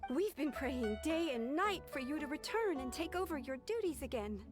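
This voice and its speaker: A woman's voice: sits high, animated, normal speed. A woman voicing a frazzled, overworked young office secretary who speaks in breathless, exaggerated exclamations. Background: game background music.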